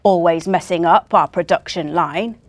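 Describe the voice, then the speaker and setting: A woman's voice: on the low side, normal speed, no echo, lightly acted. A woman voicing an angry, bossy little male elf who barks and scolds impatiently. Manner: barking, scolding.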